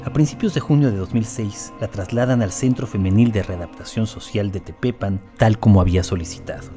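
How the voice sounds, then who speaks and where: A man's voice: fairly low pitch, a little fast, over music. A man reading scripted narration for a documentary audio drama.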